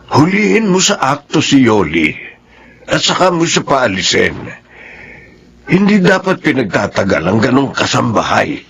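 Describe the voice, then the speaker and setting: A man's voice: mid-range voice, wildly swooping pitch, measured pace, creaky, fairly bright tone, slight background noise, exaggerated voice. A man playing a cold, distrustful older advisor in a radio drama. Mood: advising.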